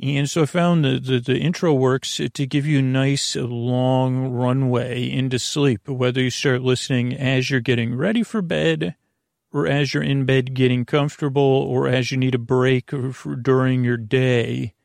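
A man hosting a podcast with a meandering, halting, digressive bedtime monologue. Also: medium pitch, steady tone, creaky, a little mellow, dry recording, clean studio sound. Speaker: podcast host.

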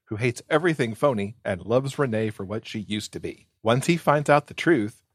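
A man's voice: mid-range voice, animated, normal speed, no echo. A man speaking as a guest on a podcast, in casual chat or reading aloud.

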